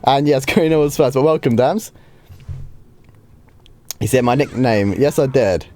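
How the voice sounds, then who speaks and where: Guy streaming a game, bit croaky from a cold, relaxed chatty q&a, lots of ums. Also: medium pitch, moderate pace. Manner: chatty, easygoing, with frequent ums and light laughs. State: slightly hoarse from a starting cold. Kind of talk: casual live stream Q&A.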